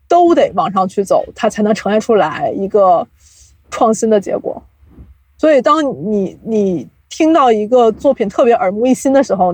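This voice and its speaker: A woman's voice: fairly high pitch, a little mellow, no echo. A woman speaking as a podcast guest, explaining things reflectively in a casual interview style. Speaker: podcast guest, audio drama producer.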